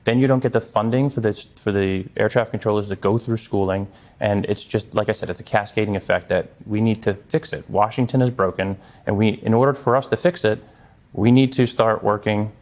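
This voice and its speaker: A man's voice: on the low side, brisk, darkish tone. A man speaking as a guest in a television news interview, earnest and given to repeating his phrases. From Long Island, New York. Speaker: interview guest, congressional candidate and former air traffic controller.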